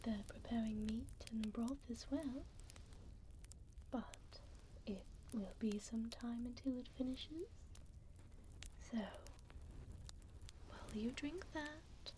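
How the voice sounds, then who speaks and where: A woman playing a gentle, refined vampire duchess in an ASMR roleplay, speaking in a soothing, elegant, breathy whisper close to a binaural microphone. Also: on the high side, slight background noise, rough recording. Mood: tender. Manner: soothing, slow, elegant, tender.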